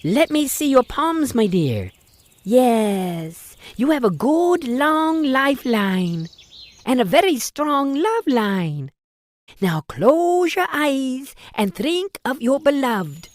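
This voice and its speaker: Lady doing a slow dreamy fortune-teller woman, soothing and mystical, swoops up high. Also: very animated, full character voice. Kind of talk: old-time radio drama acting.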